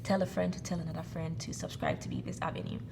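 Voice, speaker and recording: Low-voiced earnest woman host. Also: big pitch swings, fast talker, vocal fry, background noise. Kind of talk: casual interview talk.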